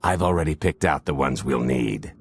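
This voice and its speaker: Deep-voiced roguish alien scoundrel. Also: male voice, animated, normal speed, creaky, husky, exaggerated voice. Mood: cocky, persuasive. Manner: sly, glib, swaggering. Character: roguish alien male scoundrel and con artist. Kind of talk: video game voice acting.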